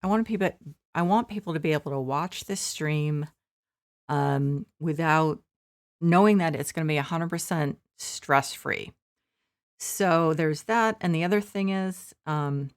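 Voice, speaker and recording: A woman streaming a farming game from her shared living room, rambling softly with many fillers. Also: low-pitched, clean tone. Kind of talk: casual gameplay commentary.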